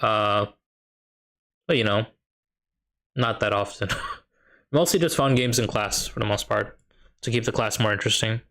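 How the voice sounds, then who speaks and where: A man's voice: on the low side, unhurried, long pauses, a bit bright. Easygoing male streamer chatting with his viewers. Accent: Chicago. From United States. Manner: thinks aloud, reads and answers chat questions. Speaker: streamer and high school math teacher. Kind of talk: casual live-stream chat while gaming.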